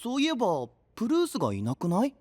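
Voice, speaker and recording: A man's voice: high voice, huge pitch swings, fast, a little mellow, dry recording, clean studio sound, exaggerated voice. A man playing a cheerful, easygoing young knight in an audio drama, with breezy, casual, friendly talk. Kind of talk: anime-style audio drama acting.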